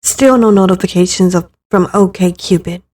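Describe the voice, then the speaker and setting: A woman's voice: on the low side, moderate pace, a little mellow. A woman reading scripted first-person narration for a dramatic visual novel story.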